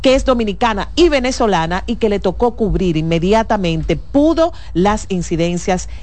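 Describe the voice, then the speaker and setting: A woman's voice: medium pitch, lively intonation, normal speed, darkish tone. A woman hosting a studio talk show, introducing and encouraging her guest in an affectionate, emphatic way.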